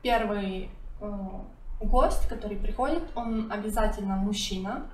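A woman's voice: mid-range voice, unhurried. Young woman, echoey kitchen. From Serbia. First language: Serbian. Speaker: guest. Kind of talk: casual conversation. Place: home kitchen, indoors. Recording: roomy kitchen from far off, faint hiss.

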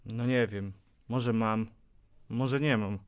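A man's voice: fairly low pitch, fairly even intonation, takes it slowly, clear, resonant tone, dry recording. A man playing a grumpy, curt and grudging workplace caretaker in an audio drama. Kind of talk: amateur audio drama acting.

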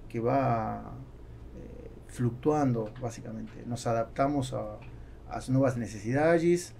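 Gravelly Argentine man, halting speech. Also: mid-range voice, warm tone, slight background noise. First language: Spanish. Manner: halting, searching for words, mixing Spanish and Portuguese. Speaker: podcast guest, business owner. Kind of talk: conversational interview answers. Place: small acoustically treated podcast studio. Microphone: studio microphone on boom arm.

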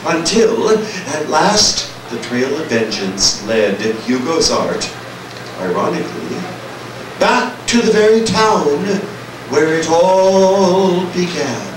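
Swooping, echoing horror-comic narrator. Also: male voice, on the high side, big pitch swings, slow, noisy background. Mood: bombastic. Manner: dramatic, portentous, swooping emphasis. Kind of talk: scripted horror story narration. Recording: very echoey, distant, music behind.

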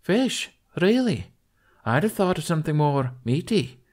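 A man's voice: a little high, very animated, measured pace, darkish tone, pitched above the performer's own voice. A man voicing cooing, giggly young Viking women in an audiobook, with a gushing manner. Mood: surprised.

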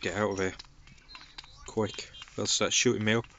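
A man's voice: lowish voice, steady tone, moderate pace, background noise. A man with a head cold, chatting casually as he plays through a game, making joking asides. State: stuffy with a cold. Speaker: gaming channel host. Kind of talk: casual let's-play commentary.

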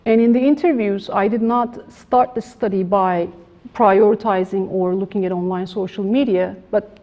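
Middle-aged woman academic lecturer, gravelly low-key tone, measured delivery, dull lo-fi room sound. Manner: measured, formal, frequent restarts and fillers. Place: lecture room with projector screen. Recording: slightly dull lo-fi room recording.

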